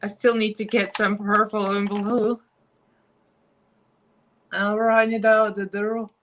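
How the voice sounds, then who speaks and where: A woman's voice: mid-range voice, level tone, slow, fairly bright tone, slight background noise. A woman with a Russian accent chatting casually and giggling as she does her makeup live for viewers.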